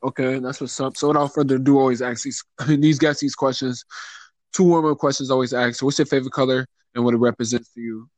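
A man's voice: medium pitch, fairly even intonation, quick pace, darkish tone, dry recording. A man casually hosting a podcast interview, informal and leaning on stock phrases.

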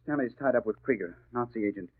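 An actor playing a nervous, cornered crooked lawyer in a 1940s radio drama, defensive and jittery. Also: male voice, medium pitch, fast talker, breathy edge, close to an everyday voice. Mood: nervous, evasive. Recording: muffled, narrow-band old radio broadcast.

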